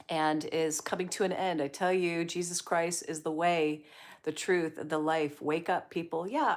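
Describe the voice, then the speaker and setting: Woman, livestream host, slightly low voice, chatty and encouraging. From Canada. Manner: chatty, upbeat, encouraging, reads viewer comments aloud. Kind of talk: casual livestream chat reading comments.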